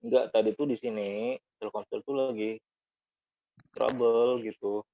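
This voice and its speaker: A man's voice: mid-range voice, fairly even intonation, a little slow, no echo. A man chatting in an easygoing way as a podcast guest over a video call. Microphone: video call audio. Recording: dull lo-fi call audio, dry.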